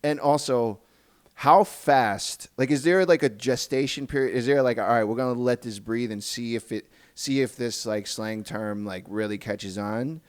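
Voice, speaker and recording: A man's voice: mid-range voice, normal speed, clear, resonant tone. A man hosting a podcast in casual chat, informal and slangy, often repeating and rephrasing as he goes. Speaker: podcast host. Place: podcast recording.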